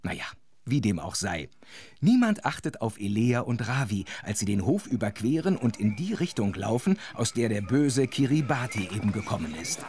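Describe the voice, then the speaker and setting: A man's voice: on the low side, big pitch swings, normal speed, a little mellow, slight background noise. A man playing a chatty, wondering storyteller who narrates a children's audio drama and comments as he goes. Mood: amused, wondering.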